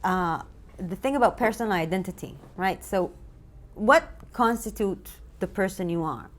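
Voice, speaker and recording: A woman's voice: medium pitch, normal speed, slight background noise. A woman with an Israeli accent explaining ideas as a co-host in casual discussion, using rhetorical questions. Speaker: philosophy expert and co-host.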